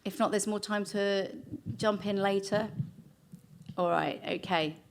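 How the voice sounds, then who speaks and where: A woman's voice: medium pitch, steady tone, measured pace, slight background noise. A woman hosting a podcast panel in front of a live audience, chatty and enthusiastic with her guests. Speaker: podcast host. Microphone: mic in front of a live audience.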